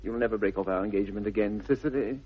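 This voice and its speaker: An actor with a British accent playing a smitten, flustered upper-class young Victorian gentleman in a 1960s radio comedy, hemming and gushing in a plummy manner. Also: on the low side, very fast, breathy edge. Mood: wounded, adoring. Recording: dull vintage 1960s radio recording.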